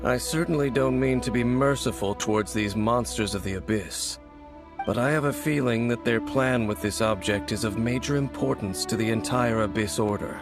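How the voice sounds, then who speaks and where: An actor playing a mysterious, reserved warrior in a video game, grave and gravelly, speaking in a solemn, formal, measured way. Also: male voice, lightly acted. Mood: grave, analytical. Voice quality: low, gravelly. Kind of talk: dramatic video game character acting. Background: background music.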